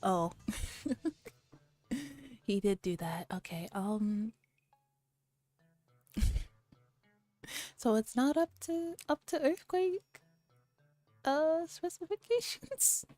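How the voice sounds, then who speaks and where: A soft-spoken woman streamer reading a visual novel aloud in an ASMR style, sometimes dropping toward a whisper, on a close microphone. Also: a little high, very slow, pauses often. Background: background music.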